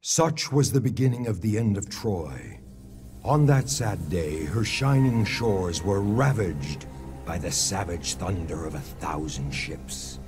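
An actor playing a solemn storyteller, narrating an ancient war epic for a video game in a grave, theatrical way. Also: male voice, deep voice, expressive, takes it slowly. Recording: music behind.

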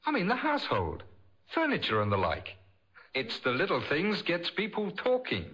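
An actor playing a smooth-talking young repairman in old radio drama, deferential but pushy with his sales patter. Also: fairly high pitch, huge pitch swings, moderate pace, a bit bright, full character voice. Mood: ingratiating, glib. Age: young adult.

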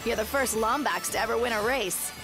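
A woman's voice: on the high side, brisk, close to an everyday voice, low-quality recording. A woman playing a friendly young race hostess in a video game, upbeat and casual. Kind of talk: video game character dialogue. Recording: loud game music behind.

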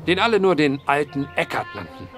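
A man's voice: fairly high pitch, sing-song, fairly quick, soft background music. An actor playing an earnest male investigator in a period mystery radio play, speaking formally and eloquently like a storyteller. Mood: grave storytelling.

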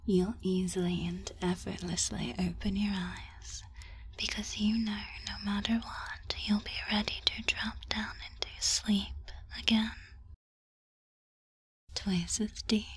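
A woman guides a hypnosis session in an extremely breathy, unvoiced ASMR whisper. She speaks slowly and leaves drawn-out pauses between phrases. Her delivery is soothing and suggestive, with a commanding edge to each instruction. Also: medium pitch, level tone.

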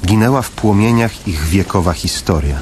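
An actor playing a grave father who narrates in the first person in a radio play, measured and full of pauses. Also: low voice, expressive, heavy background noise. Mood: somber. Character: somber father narrating his family's wartime flight.

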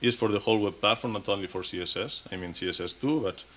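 A man's voice: on the low side, quick pace, fairly bright tone. A man in his thirties giving a technical conference talk on stage at a podium mic, with frequent restarts and repeated words. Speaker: conference speaker.

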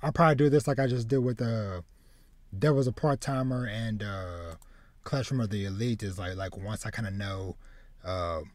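A man in his thirties hosting a casual anime podcast, speaking haltingly and often repeating words as he goes. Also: fairly low pitch, dry recording. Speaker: podcast host. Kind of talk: casual chat about anime. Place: small room with bookshelves, indoors, on a video call.

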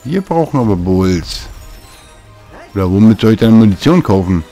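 A man's voice: fairly low pitch, big pitch swings, normal speed, warm tone. A man casually commenting on a video game as he plays, muttering to himself, with game music behind him. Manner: chatty, mutters to himself while playing. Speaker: let's play host.

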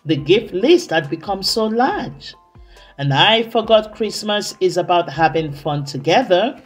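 A jolly, commanding old Santa, as a woman storyteller plays him, talks very slowly with booming, measured phrases. The storybook delivery is expressive, with music behind.

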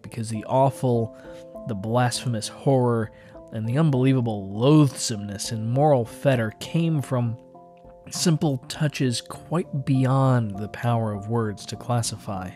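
A man reads a literary horror tale as an audiobook narrator, in a dramatic style. His voice sits at a medium pitch. Music plays faintly underneath. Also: darkish tone.

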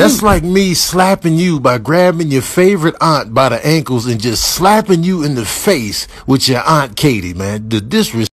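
A man's voice: on the high side, dry recording. A man giving comedic voiceover commentary in a mock-serious, emphatic way, repeating phrases for effect.

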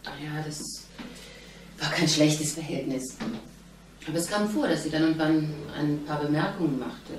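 An actress playing a discreet, measured female witness in a radio crime drama. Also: low voice, recorded from a distance, natural voice. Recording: roomy, off-mic, faint noise.

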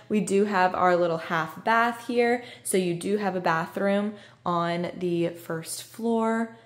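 Chatty woman real estate agent. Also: mid-range voice. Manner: upbeat, chatty, enthusiastic. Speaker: real estate agent giving a house tour. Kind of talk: walking house tour narration. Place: inside and around a house, voice-over while filming.

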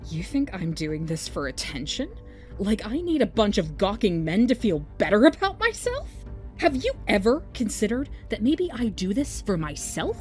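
A woman's voice: mid-range voice, big pitch swings, moderate pace, darkish tone, natural voice. A woman playing a haughty, vain young woman who talks in a sarcastic, cutting way in an audio drama. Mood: scornful. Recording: faint music behind.

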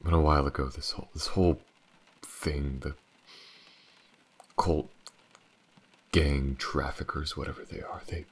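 A man playing a world-weary but tender detective in an intimate audio roleplay, with halting, shy, stammering speech. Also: flat delivery, full character voice. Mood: somber, halting. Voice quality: very deep, gravelly, husky. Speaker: voice actor. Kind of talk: audio roleplay, intimate acting addressed to the listener.

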